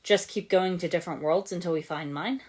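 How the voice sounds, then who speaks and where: A woman's voice: lowish voice, a little fast, darkish tone, close to an everyday voice. A woman voicing a polite, earnest young boy ninja in an audiobook story. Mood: questioning. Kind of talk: fan fiction audiobook character voice.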